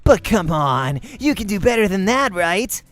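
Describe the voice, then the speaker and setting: Man acting smug teenage boy gamer, high voice, brash, taunting, slangy, visual novel character, music behind. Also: very animated, unhurried, fairly bright tone, heavily acted.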